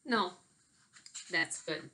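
A woman, talking casually and reading to children in an animated, expressive way, like an elementary teacher. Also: very slow. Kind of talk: casual video message and children's read-aloud. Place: small bedroom at home, indoors. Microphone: laptop webcam at desk distance.

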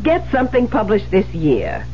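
An actress in a 1970s radio drama playing a defensive, high-strung widowed mystery writer with a theatrical, insistent manner. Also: female voice, big pitch swings, moderate pace, mellow, natural voice. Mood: tense, brittle. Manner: theatrical, insistent, faltering under pressure. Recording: muffled, noisy 1970s radio broadcast.

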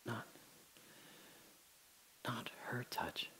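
An actor playing a dying older father, telling his memories in a halting, breathy dramatic monologue that drops to whispers. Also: bright, forceful tone, rough recording. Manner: halting, breathy, emotional, many pauses and restarts. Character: dying old father recalling his daughter's birth.

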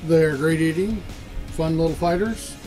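A man's voice: a little high, a little slow, poor audio. A middle-aged man, a fishing guide, explaining lures and trolling in a matter-of-fact way from a small boat outdoors on a lake, on a lapel mic. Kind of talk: on-the-water fishing show explanation. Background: background music.

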